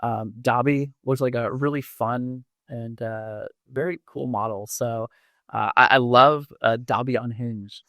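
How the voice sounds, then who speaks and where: A man hosting a podcast in a casual interview style, speaking in a halting, informal way. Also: mid-range voice, steady tone, pushed and bright, dry recording, studio quality. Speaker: podcast host.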